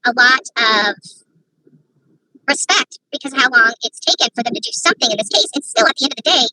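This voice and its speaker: Woman livestreamer with a bright, sharp tone, rambling and indignant, talking to her viewers through a phone. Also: high voice, animated, a little fast, dry recording, rough recording. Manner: opinionated, rambling, rising to indignant rhetorical questions. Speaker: online commentator. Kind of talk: livestream commentary.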